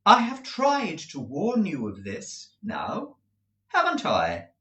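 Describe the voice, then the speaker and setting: A man reading aloud, voicing a cold, proud young woman who speaks calmly and without feeling. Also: fairly high pitch, pitched above the performer's own voice, full character voice. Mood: cold, measured. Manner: cool, measured, unmoved. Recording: roomy echo.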